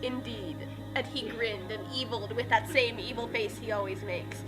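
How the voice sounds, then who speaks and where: A woman's voice: a little high, moderate pace, over music. A woman giving a theatrical comic reading of a badly written story, with exaggerated dramatic emphasis and asides. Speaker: dramatic reader of fan fiction.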